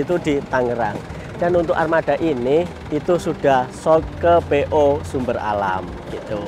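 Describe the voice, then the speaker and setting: Chatty man touring a bus outdoors. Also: fairly high pitch. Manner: chatty, addresses viewers as friends. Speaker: vlogger. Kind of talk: casual walkaround vlog. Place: outdoor car show parking lot. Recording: outdoor noise behind voice.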